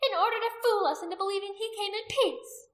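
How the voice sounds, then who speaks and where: A woman voicing a venomous, imperious pagan high priestess in an audiobook, declaiming accusations as if to a crowd. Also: steady tone, normal speed, fairly bright tone, echoey room. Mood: fiery, disdainful. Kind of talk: dramatic audiobook character acting.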